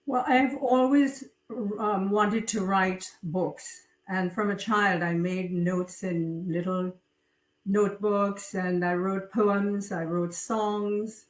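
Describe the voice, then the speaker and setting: Slow-speaking woman author, far from the mic. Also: mid-range voice, steady tone. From Trinidad. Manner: slow, hesitant, many fillers. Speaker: guest author. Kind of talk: interview conversation. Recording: roomy, distant from mic.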